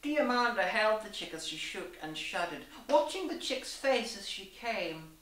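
A young woman giving a deliberate, theatrical dramatised reading of fan fiction, with emphatic character dialogue. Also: mid-range voice, expressive, a bit bright. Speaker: reader and host in costume. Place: small plain room indoors. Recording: roomy echo, distant camera microphone.

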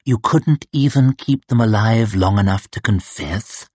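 A man's voice: measured pace, husky, dry recording. A man doing a sneering, crippled torturer. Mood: cold contempt. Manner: low, quiet, cutting menace. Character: bitter crippled male torturer, cold and sneering.

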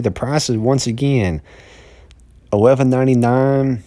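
A man's voice: mid-range voice, a little mellow. A man, filming a casual walk-through vlog of a big store on his phone, rambling and repeating himself. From Mississippi, USA. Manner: rambling, repeats words, upbeat. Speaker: vlogger. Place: large indoor store. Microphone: handheld phone.

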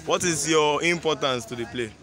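A man's voice: fairly high pitch, moderate pace. A man doing casual on-the-spot interviews, asking short questions outdoors. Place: outdoors in an open yard among groups of young people. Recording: very noisy outdoor crowd.

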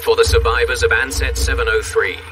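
A man narrating a documentary in a measured, dramatic scripted delivery. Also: medium pitch, fairly even intonation. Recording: music bed, distant sound.

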